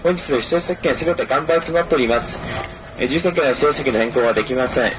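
Male train conductor on a noisy onboard PA. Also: quick pace. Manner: formal, polite, repeats key information. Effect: public address speaker. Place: inside a moving train, over its PA. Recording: noisy train PA speaker, rumbling vehicle.

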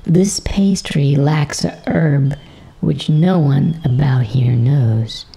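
Man voicing a smug, high-pitched storybook prince. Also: slow pace, vocal fry, mellow, husky, heavily acted. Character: smug, fastidious visiting prince, connoisseur of food.

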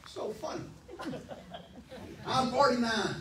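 A man in his forties preaching a sermon as pastor, emphatic and animated, speaking into a handheld mic in a church hall. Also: very high voice, slow pace, heavy background noise. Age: 40s. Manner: animated, emphatic, pacing the stage. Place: church hall, medium-sized, indoors. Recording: echoey hall, from far off.

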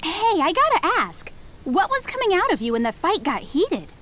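A woman's voice: extremely high pitch, wildly swooping pitch, moderate pace, heavily acted. An actress voicing a brash, bubbly young woman fighter in a video game, eager and breezy. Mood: thrilled. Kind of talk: animated video game voice acting. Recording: loud music behind the voice.

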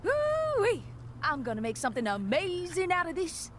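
Very high, brash young woman. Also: wildly swooping pitch, normal speed, a little hiss or hum, full character voice. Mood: excited. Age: young adult. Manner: brassy, slangy, exuberant. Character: brash, excitable young woman mechanic driving a van. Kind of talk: video game cutscene acting.

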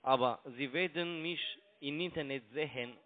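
A man's voice: on the high side, moderate pace. A man with a foreign accent, a non-native speaker arguing in broken sentences and insistently repeating his phrases over the telephone. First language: not German. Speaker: phone broker and scam caller. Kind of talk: argumentative phone conversation. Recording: tinny narrowband phone line.

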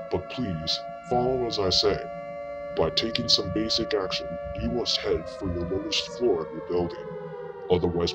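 A man's voice: sits low, natural voice. A man voicing a fleet commodore who gives a grave emergency address to a nation under nuclear attack. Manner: grave, solemn, measured. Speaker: wartime leader giving an emergency address. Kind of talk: emergency broadcast speech. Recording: speech over loud music.

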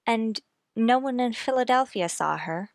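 An actress plays a concerned woman in an old-fashioned radio drama. Her voice is fairly high, with a gentle, earnest and soothing manner. It is recorded dry. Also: lively intonation, measured pace, no room sound. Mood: troubled, earnest. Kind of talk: old-time radio play acting.

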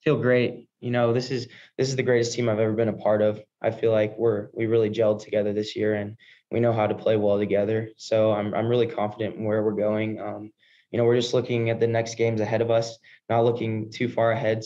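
A man's voice: lowish voice, little pitch movement, fairly quick, mellow. A young American man in his early twenties, a college ballplayer answering post-game questions on a video call. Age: early 20s. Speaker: college baseball player. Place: indoor press room before a backdrop. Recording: dull, lo-fi video call audio.

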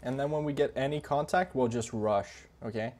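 A man giving quiet, low-key callouts to his team in a recorded game, repeating his calls. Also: a little fast, background noise.

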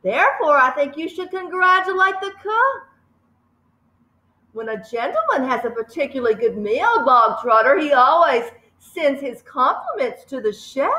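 A woman puts on a huge, cruel headmistress in a storybook reading, booming and sneering at a slow, heavy pace. The voice is sharp and bright, swinging widely up and down. It rings in a room with a clear echo. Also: exaggerated voice. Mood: mock-sweet. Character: fierce bullying headmistress, big and cruel. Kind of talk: reading a children's book aloud.

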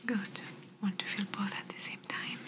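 Softly whispering, gentle woman doctor, acted by a woman. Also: normal speed, lightly acted. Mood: gentle, reassuring. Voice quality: soft, breathy whisper. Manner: gentle, reassuring, slightly non-native English phrasing. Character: gentle, attentive woman doctor giving an ear exam. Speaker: ASMR performer. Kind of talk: ASMR medical exam roleplay, whispered. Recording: noisy close-up whisper recording.

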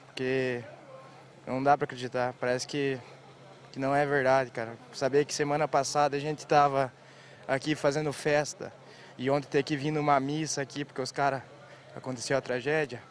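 Young man, Brazilian accent, monotone, hesitant. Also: medium pitch, slight background noise. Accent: Brazilian Portuguese. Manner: halting, lost for words. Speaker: interviewee. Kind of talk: emotional street interview. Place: outdoors at a stadium. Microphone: reporter's handheld mic.

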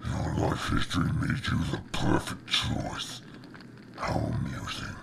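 A man voicing a cruel, mocking demon lord in a gravelly growl, slow and theatrical, with noisy ambience behind him. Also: fairly bright tone, close to an everyday voice. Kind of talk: comic dub villain acting.

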